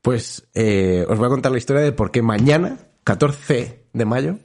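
A man's voice: mid-range voice, animated, moderate pace, darkish tone. A man with a Spain Spanish accent co-hosting a casual podcast, telling a rambling, jokey story and laughing often. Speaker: podcast co-host. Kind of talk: casual chat.

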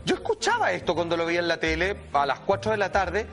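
A man with a Chilean accent giving animated, slangy, emphatic commentary, speaking straight to his listeners. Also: high-pitched, fast, music in the background. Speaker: talk show commentator.

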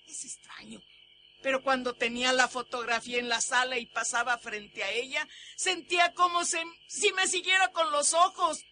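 A woman's voice: high-pitched, sharp tone. An actress playing an anguished, fearful old Mexican grandmother in a melodramatic horror radio drama.

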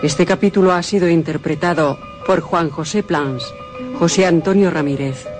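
A man reading radio drama closing credits in a measured, formal announcing style. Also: on the high side. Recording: loud music under the voice.

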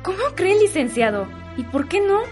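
Woman acting an excitable, very high-voiced Mexican housemaid. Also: moderate pace, soft background music. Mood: flattered, surprised. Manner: gushing, exclamatory, servile. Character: excitable, deferential housemaid, eager and admiring. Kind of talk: radio soap opera acting.

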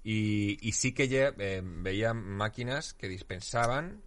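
A man's voice: on the low side, animated, takes it slowly, a little hiss or hum. A man, casually asking questions as an interviewer. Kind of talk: casual chat.

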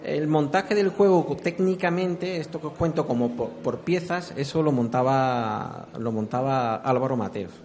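A middle-aged man with an accent from Spain, telling anecdotes conversationally as an interviewee, explaining in halting, repeated phrases. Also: mid-range voice, a little mellow. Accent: Spanish from Spain. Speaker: interviewee, former video game programmer.

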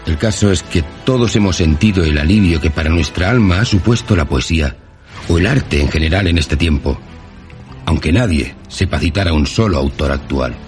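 Guy playing a tired soldier character in an audio drama, really low voice, bit of music behind. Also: moderate pace, natural voice. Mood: solemn. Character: weary male fictional soldier character, pleading with his writer. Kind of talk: Spanish-language audio fiction acting.

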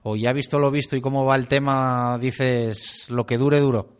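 Host for a Spanish football show, mid-pitched man, long questions with "eh" fillers. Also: fairly even intonation, moderate pace, clean tone. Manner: asks long questions, fills with "eh", says "ujú" while listening. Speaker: radio sports host. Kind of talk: sports radio interview.

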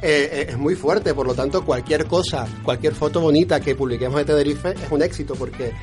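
A man from the Canary Islands with a Canarian accent, answering casually as an interview guest and repeating words in a halting way while he thinks. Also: fairly high pitch, a little mellow. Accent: Canarian Spanish. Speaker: interview guest, social media creator. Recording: music behind the voice. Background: music.

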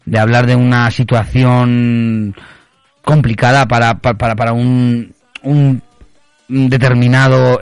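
A man's voice: mid-range voice, smooth, dry recording. A man hosting a casual late-night radio chat, often stammering and repeating words as he talks, laughing easily. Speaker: radio host.

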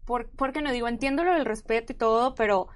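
Una coanfitriona mexicana de voz más bien alta. Pregunta en tono travieso y bromista, en plena charla casual. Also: female voice, on the high side, quick pace. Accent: Mexican Spanish. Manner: playful, teasing questions. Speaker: co-host. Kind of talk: casual interview.

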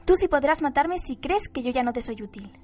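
Radio drama role, for a woman: devoted girlish young woman, high voice, music underneath. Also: lively intonation, brisk, a little mellow. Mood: confident, insistent.

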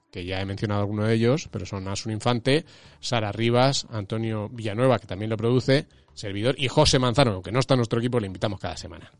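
A man's voice: mid-range voice, no room sound. A man with a Spanish accent hosting a casual finance podcast, joking and laughing often with his co-host. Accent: Spanish from Spain. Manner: jokey, laughs often, quick banter. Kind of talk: casual chat.

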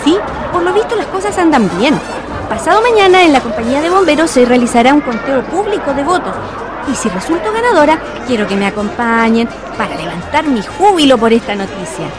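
Actress voicing lively young woman, high animated voice, crowd noise, music. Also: a little fast. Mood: chatty. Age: young adult. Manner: lively, warm-hearted, speechmaking. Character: cheerful young woman, beauty queen candidate. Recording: radio drama with music and crowd. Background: music and crowd at a party.

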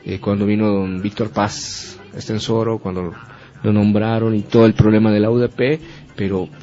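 A man's voice: on the low side, flat delivery, normal speed, dark tone. A man, co-hosting a radio show, agreeable and hesitant with many fillers. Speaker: radio co-host. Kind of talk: casual radio talk. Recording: dull lo-fi radio with faint music.

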